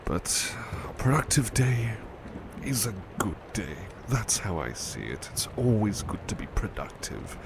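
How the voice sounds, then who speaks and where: A man playing a gentle, devoted butler in an intimate ASMR roleplay, speaking politely and tenderly with a hesitant stammer. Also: lowish voice, sing-song, measured pace, gravelly, background noise, heavily acted. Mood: tired, chatty. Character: gentle, devoted, flustered male butler, tired and affectionate.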